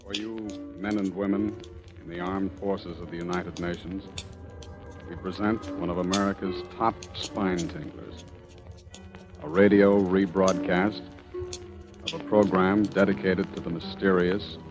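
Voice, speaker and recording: A man's voice: low-pitched, fairly even intonation, measured pace. A man giving a solemn, dramatic introduction in 1940s radio announcing style. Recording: old radio broadcast, music behind.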